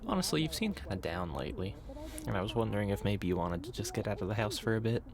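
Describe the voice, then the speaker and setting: Low, soft-spoken man, casual boyfriend roleplay. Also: very animated, quick pace, vocal fry, crowd noise. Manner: rambling, teasing, informal slangy talk. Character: easygoing, caring, teasing boyfriend driving at night. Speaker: ASMR roleplay performer.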